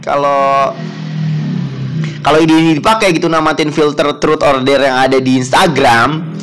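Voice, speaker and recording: A man's voice: on the high side, steady tone, moderate pace, a bit bright, soft background music. A young man, talking casually on his podcast in a chatty, self-mocking way with many fillers and laughs. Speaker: podcast host.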